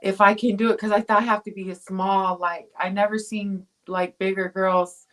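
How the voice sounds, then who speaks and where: A woman's voice: mid-range voice, level tone, normal speed, fairly bright tone, low-quality recording. A woman from Tonga, a guest pro boxer, talking casually in an interview, with rambling phrasing full of fillers and restarts.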